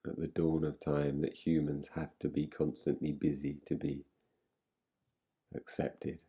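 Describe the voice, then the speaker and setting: A man guiding a relaxation meditation in an unhurried, soothing way, giving gentle instructions with long pauses. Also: very deep voice, completely flat delivery, slightly rough, dull audio. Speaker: meditation guide. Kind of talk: guided relaxation meditation, yoga nidra.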